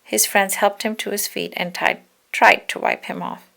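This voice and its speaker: A woman reading a children's storybook aloud in an expressive narrating style, now and then correcting a slip. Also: medium pitch, normal speed, creaky. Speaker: storybook reader.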